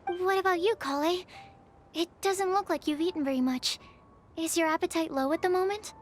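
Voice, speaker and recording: A woman playing a sweet, caring young woman in an animated video game. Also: extremely high pitch, moderate pace, a little hiss or hum.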